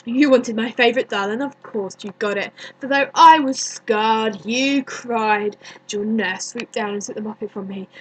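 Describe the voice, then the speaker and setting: A woman performing a dramatic stage monologue as a bitter, grieving young woman, deliberate and accusing, with many pauses. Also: on the high side, husky, full character voice, rough recording. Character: bitter grieving young woman haunted by her dead cousin.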